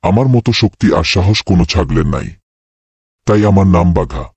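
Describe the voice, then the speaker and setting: Guy doing a cocky cartoon goat tough guy, super raspy low voice, bragging. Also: brisk, darkish tone, dry recording, muffled recording, full character voice. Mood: boastful. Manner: bragging, swaggering. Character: boastful brave male goat, village tough guy. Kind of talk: cartoon voice acting.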